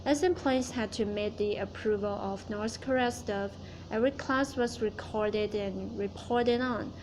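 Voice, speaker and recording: A woman's voice: medium pitch, a little slow, noisy background. A woman student giving a prepared class speech, reciting it carefully and restarting words she stumbles on.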